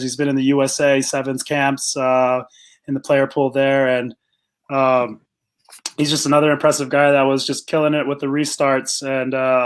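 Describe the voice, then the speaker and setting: Even-toned man, halting casual interview guest. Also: mid-range voice, clear, resonant tone. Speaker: guest, rugby coach and organizer.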